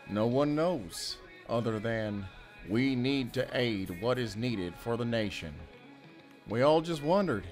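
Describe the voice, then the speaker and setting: A man acts a soft-hearted ex-convict handyman in an audio drama. He speaks at a medium pitch in a measured, folksy way, weary and guarded, over faint music. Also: animated. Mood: guarded, reflective. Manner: measured, folksy, with drawn-out pauses.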